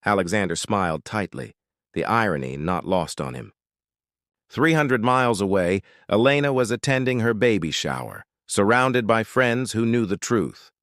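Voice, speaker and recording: A man narrating a scripted dramatic story in a measured, dramatic way. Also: on the low side, expressive, a bit bright, no room sound, clean studio sound.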